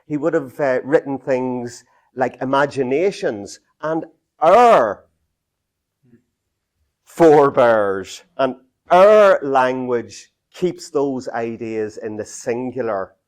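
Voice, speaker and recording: A middle-aged Irish man giving an unscripted talk, halting with many fillers and restarts, in a small meeting room heard from a camera some distance away. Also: medium pitch. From Ireland. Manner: halting, many fillers and restarts, gestures a lot while talking.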